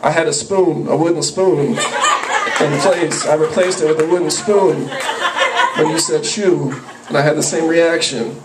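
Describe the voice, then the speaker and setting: A young man doing profane open mic stand-up, rambling with a slightly high voice. It is caught by a far camera in a small cafe, so it sounds roomy and distant, with clear room noise behind it. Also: fairly even intonation, measured pace. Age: young adult. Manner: profane, rambling, jumps into yelped character voices. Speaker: stand-up comedian. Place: small cafe with a live audience. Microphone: handheld mic, filmed from a far camera. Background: audience laughter.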